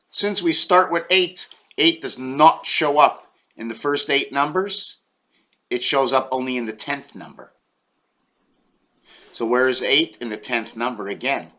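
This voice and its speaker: Man from Quebec, bright voice, meandering home video explainer, counts aloud. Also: mid-range voice. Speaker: video creator. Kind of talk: rambling numerology explanation.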